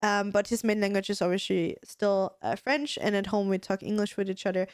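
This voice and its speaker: A woman's voice: mid-range voice, a little fast, smooth, dry recording, clean studio sound. A woman with an Austrian accent, a native German speaker, co-hosting a podcast in casual, rambling chat full of fillers and restarts. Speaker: podcast co-host.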